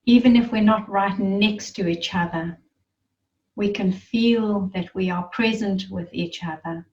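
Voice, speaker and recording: A woman leading a guided meditation in a soothing, reverent manner, leaving long pauses between phrases. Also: medium pitch, darkish tone. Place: online group gathering. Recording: muffled, roomy, distant online-call sound.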